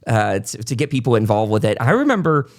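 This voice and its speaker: A man hosts a casual podcast in a mid-pitched voice with noticeable creak. He talks off the cuff in an informal, chatty way, as if there were no script. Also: sing-song, fast talker. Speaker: podcast host. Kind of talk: casual, unscripted podcast hosting.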